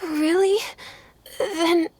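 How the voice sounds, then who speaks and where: A woman's voice: very high voice, steady tone, extremely slow, dark tone, full character voice. A woman voicing a sick, weak and innocent little boy in a video game. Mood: timid. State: weak, feverish.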